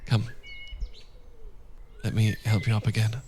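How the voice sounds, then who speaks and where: Guy doing gentle devoted british period gentleman husband, asmr roleplay, really slow talker, faint birdsong behind him. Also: on the low side, monotone, noisy background, natural voice. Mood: tender, affectionate. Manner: gentle, hesitant, formal period phrasing. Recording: outdoor birdsong ambience behind voice. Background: birdsong and outdoor ambience.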